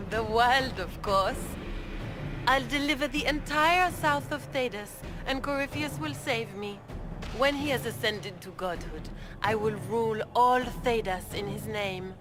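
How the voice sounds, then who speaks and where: A woman's voice: on the high side, measured pace, lightly acted. A silkily cruel noblewoman villain from a fantasy game, voiced by an actress. She is aristocratic and theatrical, talking down to her listener with smug poise while music plays prominently behind her. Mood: gloating, cruel. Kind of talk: dramatic video game acting.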